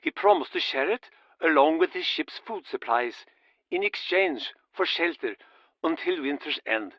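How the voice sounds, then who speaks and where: Dramatic, higher-voiced male storyteller. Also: animated, fairly bright tone, dry recording, dull audio. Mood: solemn, dramatic. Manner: measured, dramatic, solemn. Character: male storyteller solemnly recounting a town's old legend.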